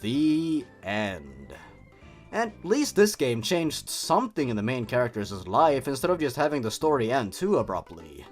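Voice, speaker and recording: A young man presents scripted commentary as a show host. His mid-pitched voice leaps up and down dramatically, with sardonic, exaggerated emphasis. Music plays softly behind him. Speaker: host of a horror story review channel. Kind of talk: scripted narration and review commentary. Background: background music.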